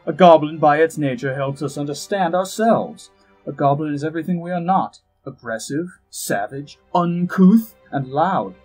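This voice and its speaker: A man playing a suave, haughty elf diplomat in a fantasy audio drama, with measured, arch, dryly witty delivery. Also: on the high side, darkish tone, breathy edge, poor audio. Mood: dryly amused. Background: background music.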